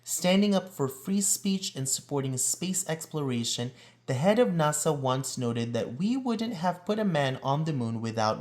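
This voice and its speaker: A man reads a children's picture book aloud at a slow, deliberate pace. His pitch moves with lots of animation, and he draws out key words for emphasis like a storyteller. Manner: deliberate, expressive storytelling delivery with drawn-out emphasis. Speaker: read-aloud reader.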